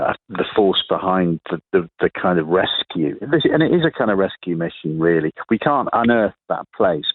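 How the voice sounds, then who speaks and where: A man being interviewed on the radio, talking reflectively and haltingly, often rephrasing as he goes. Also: low-pitched, breathy edge, no room sound. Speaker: interview guest, playwright. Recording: narrow, phone-like radio sound.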